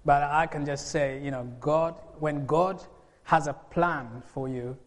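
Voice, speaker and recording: A man in his forties from Uganda, preaching a sermon in a lively way with emphatic bursts and laughs. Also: fairly high pitch, takes it slowly. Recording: long hall reverb.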